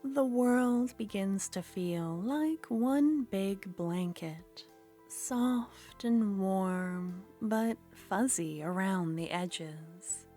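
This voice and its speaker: A woman narrating a second-person ASMR story in a slow, soft, partly whispered voice. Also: medium pitch, faint music behind.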